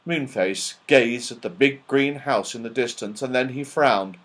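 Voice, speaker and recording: A man narrating a children's story as an audiobook reader, in an expressive, dramatic style. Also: medium pitch, unhurried.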